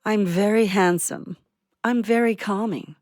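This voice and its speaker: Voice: medium pitch, steady tone, unhurried, natural voice. An actor voicing a small, vain and soothing warbler bird in an audio play, with a proud, self-admiring manner. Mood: proud, self-assured.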